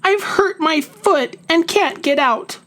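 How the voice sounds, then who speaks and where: A woman's voice: very high voice, expressive, slow pace, voice pushed up, full character voice. A woman voicing a small, nervous male mouse in a storybook, fretful and easily frightened. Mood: panicked yelling. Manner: fretful, yelling or whispering.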